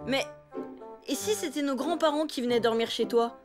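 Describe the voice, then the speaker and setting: A woman's voice: high voice, moderate pace, over music, natural voice. A woman voicing a grumbling, sporty young elephant boy in a French cartoon. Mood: casual scheming.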